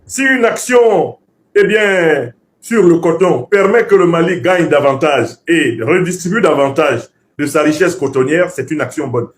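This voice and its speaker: A male political commentator, raised speaking Bassa. His voice sits a little high and swings widely in pitch. He lectures like an orator, emphatic and professorial, hammering points through repetition. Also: lively intonation, a little slow.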